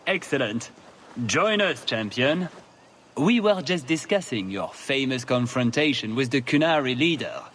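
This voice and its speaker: An actor playing a courtly noble lord in a fantasy video game, pompous, formal and smugly gracious. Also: male voice, medium pitch, lively intonation, measured pace, fairly bright tone, a little hiss or hum.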